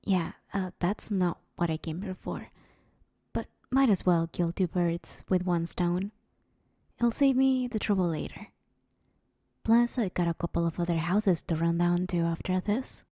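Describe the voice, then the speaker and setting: A friendly but sheepish female supervillain from next door, acted out by a woman for an audio roleplay. Her voice is on the low side and mellow, with a lot of fry in it. She rambles casually and hesitantly, like someone hard up who needs a favor. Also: a little slow, no echo, studio quality. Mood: rambling, awkward. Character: awkward, broke, friendly female supervillain neighbor asking favors. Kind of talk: roleplay audio drama, casual conversational monologue.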